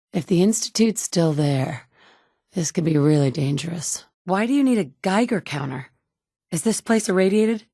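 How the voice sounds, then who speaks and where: A woman's voice: lowish voice, darkish tone, no echo. An actress voicing a wry woman survivor from before the war, blunt and sardonic with caring moments, in game dialogue recorded on a studio mic. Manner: dry and sardonic, shifting between blunt and caring. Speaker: player character.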